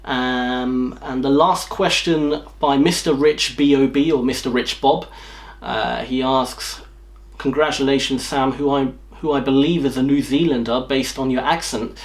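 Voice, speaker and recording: A man's voice: mid-range voice, steady tone, measured pace. English man, rambling with a slight slur. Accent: English, from near London. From England, north of London. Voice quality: slight slur from a jaw impediment. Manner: rambling, lots of ums and restarts. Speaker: YouTube channel host. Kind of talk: casual Q&A chat to camera.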